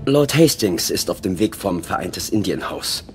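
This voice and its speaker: An actor playing a steadfast knight, a loyal comrade who speaks firmly and earnestly in a game drama. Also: male voice, low voice, expressive, normal speed, faint music behind. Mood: urging.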